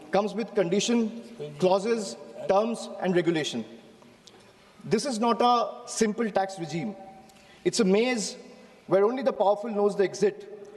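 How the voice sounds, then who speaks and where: A man with an Indian English accent delivers a rehearsed parliamentary speech in a high-pitched voice. He builds his points through repetition and deliberate stresses. His desk microphone carries the long reverb of a large chamber. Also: darkish tone, slight background noise. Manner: oratorical, rhetorical repetition, measured emphasis. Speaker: member of parliament.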